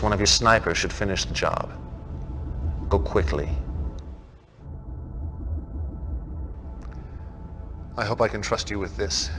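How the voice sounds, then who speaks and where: A man playing a commanding, calculating cult-like military leader in a video game briefing, speaking in a measured, solemn, imperious way. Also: on the low side, natural voice. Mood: stern command. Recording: background music.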